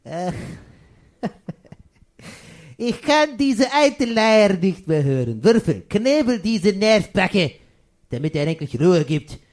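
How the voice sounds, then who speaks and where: A man's voice: high-pitched, exaggerated voice. A man playing a gleefully evil, easily irritated mad scientist who cackles and repeats himself in a comic radio play. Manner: stammering repeats, cackling, theatrical outbursts.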